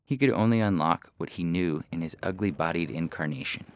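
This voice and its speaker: A man's voice: deep voice, fairly quick, noisy background. A man narrating scripted science fiction in a wry, dramatic storytelling manner. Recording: music bed behind the voice.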